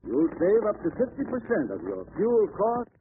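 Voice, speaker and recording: A man's voice: high voice, wildly swooping pitch, normal speed. A man reading a scripted 1940s radio commercial as a spokesman, formal, authoritative and explanatory. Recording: narrow-band, faintly noisy old radio broadcast.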